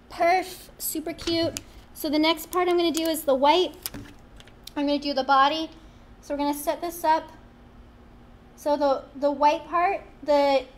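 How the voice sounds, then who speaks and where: A young woman leads a live-streamed craft demonstration. Her voice sits high and girlish, and she chats in a playful way and teases herself. A faint hiss runs under the small indoor studio sound. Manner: chatty, playful, self-teasing. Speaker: craft demo host. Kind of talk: live-streamed product demo.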